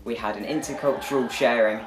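A man's voice: medium pitch, fairly even intonation, fairly quick. A teenage British male student explaining what his exchange group did. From United Kingdom. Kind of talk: explanatory recap. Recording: echoey room with music behind.

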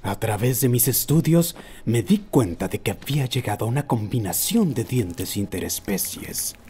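A man voicing an obsessive old man who recounts his lifelong fixation in a solemn, deliberate horror narration. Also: medium pitch, lively intonation, mellow, a little hiss or hum, close to an everyday voice. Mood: brooding, solemn. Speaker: amateur narrator of a horror short. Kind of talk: scripted first-person horror narration.

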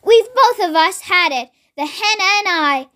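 A woman voicing a boastful old grandmother who shouts and crows, in a storybook reading. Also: extremely high pitch, lively intonation, unhurried, full character voice. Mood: gleeful. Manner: shouting, crowing.

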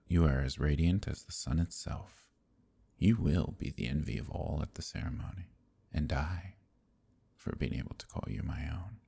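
A man playing a proud, possessive fae prince in an intimate ASMR roleplay, husky and breathy, with measured, courtly speech that dips into whispers. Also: very deep voice, dark tone, exaggerated voice. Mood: adoring. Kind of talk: ASMR roleplay, intimate archaic theatrical acting.

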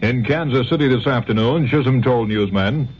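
A man's voice: mid-range voice, moderate pace. American network newsman on muffled old radio. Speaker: network news anchor. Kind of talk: scripted radio news reading. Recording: dull, hissy 1970s radio recording.

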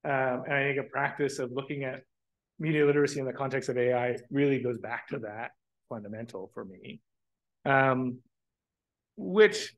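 A man's voice: mid-range voice, poor audio. Reflective man speaking from a podium. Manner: thoughtful, halting with restarts. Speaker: keynote speaker. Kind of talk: conference keynote talk. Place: lecture room with large windows. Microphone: podium microphone.

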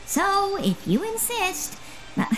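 A woman's voice: very high voice, sing-song, takes it slowly, music in the background, heavily acted, poor audio. A woman voicing a small, dutiful female creature secretary in a video game, polite and a little put-upon. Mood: exasperated, compliant. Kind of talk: video game dialogue acting.

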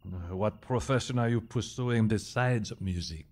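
A man's voice: lowish voice, a little slow, a little mellow. An actor playing a stern, protective middle-aged father in an audio drama, blunt and argumentative. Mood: disapproving.

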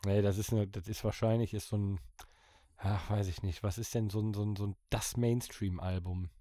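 A man's voice: lowish voice, fairly even intonation, moderate pace, dark tone, dry recording, clean studio sound. A man co-hosting a podcast, musing and reacting in casual chat. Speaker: podcast co-host.

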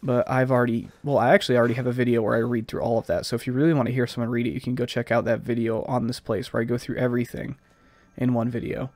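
A man's voice: mid-range voice, flat delivery, quick pace, darkish tone, no room sound. A man with a slightly stuffed-up, scratchy voice giving casual, rambling gameplay commentary as a let's play host.

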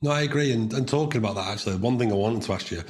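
A man's voice: medium pitch, rapid-fire. A man in his thirties hosting a podcast, interviewing conversationally over a video call. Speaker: podcast host.

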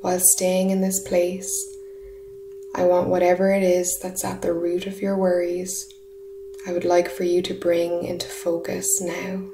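A woman leading a guided meditation in a soft, soothing, breathy voice, with short unhurried phrases. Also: on the low side. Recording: roomy echo, steady humming background noise. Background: humming sound bath tones.

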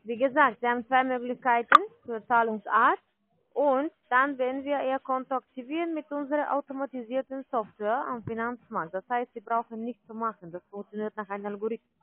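A woman working as a call center agent, making a sales pitch over the phone. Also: fairly even intonation, brisk, a little hiss or hum. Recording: tinny narrow phone line.

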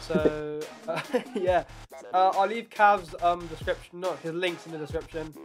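Young male prank-call host, casual delivery, voice a little high, music playing clearly underneath. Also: steady tone, unhurried. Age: young adult. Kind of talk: casual chat and prank calls. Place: small bedroom, indoors.

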